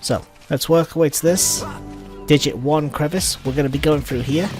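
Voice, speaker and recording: A man's voice: fairly high pitch, normal speed, darkish tone, soft background music. A man giving casual gameplay commentary as a let's play host.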